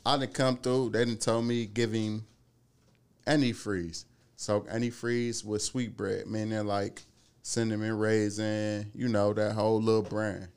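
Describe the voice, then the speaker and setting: A man in his thirties with a low, gravelly voice tells stories in loose, slangy talk, swearing as he goes. He comes from the Cleveland area and carries the chat with a lot of animation. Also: level tone, unhurried, a little mellow. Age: 30s. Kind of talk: casual storytelling chat.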